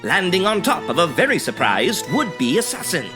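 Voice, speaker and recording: An actor playing a florid, melodramatic announcer narrating the action of a 1940s style radio serial. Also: male voice, high voice, sing-song, normal speed, music in the background. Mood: excited, dramatic.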